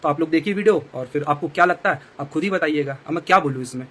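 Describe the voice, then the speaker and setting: A man's voice: fairly high pitch, fast talker, a bit bright. A male vlogger earnestly explaining himself to his viewers. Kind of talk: talking to camera.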